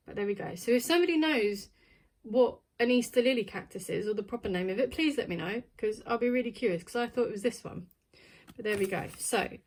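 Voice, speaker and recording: A woman chatting casually while unboxing a plant subscription box, thinking aloud with plenty of ums. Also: fairly high pitch. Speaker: plant vlogger.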